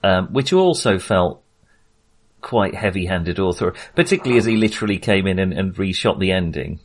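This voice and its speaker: A man co-hosting a film podcast in casual discussion, with a halting, hesitant and amused way of talking. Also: low voice, expressive.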